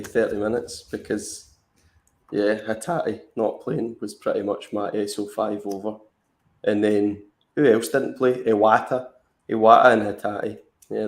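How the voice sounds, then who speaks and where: A man's voice: lowish voice, roomy sound. A man co-hosting a fantasy football live stream, chatting casually and rambling with lots of fillers and restarts. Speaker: fantasy football podcast co-host.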